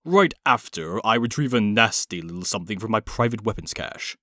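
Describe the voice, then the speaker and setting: A man playing a cold, commanding middle-aged villain in a comic dub, haughty and measured. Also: on the low side, expressive, fairly bright tone, dry recording, studio quality. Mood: calculating, grim. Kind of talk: dramatic comic dub acting. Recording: music behind the voice.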